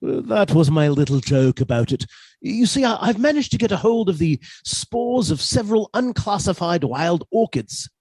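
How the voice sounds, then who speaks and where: Meek professor husband played by an actor, lively pitch. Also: male voice, slightly rough, no room sound, heavily acted. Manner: deferential, mild, scholarly. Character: meek, henpecked British professor husband, quietly fussy. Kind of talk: radio suspense play acting.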